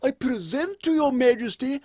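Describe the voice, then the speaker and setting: A man's voice: very high-pitched, expressive, moderate pace, a little mellow, no room sound. A man voicing an elderly, deferential, long-suffering royal servant, formal and dry, in a comic audio drama. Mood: dryly deferential. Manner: formal, ponderous, dryly obsequious. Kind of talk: steampunk radio comedy acting.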